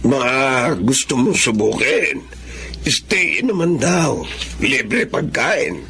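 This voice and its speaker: Male as a humble middle-aged father, creaky and animated delivery. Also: medium pitch, takes it slowly, a little hiss or hum, heavily acted. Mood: coaxing. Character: poor, humble father of a grown daughter. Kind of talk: Filipino melodrama acting.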